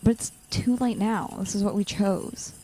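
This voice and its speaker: A woman's voice: medium pitch, fairly even intonation, normal speed, mellow, close to an everyday voice. A young woman playing an anxious, sardonic college-student supervillain in a naturalistic audio drama. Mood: exasperated. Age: young adult.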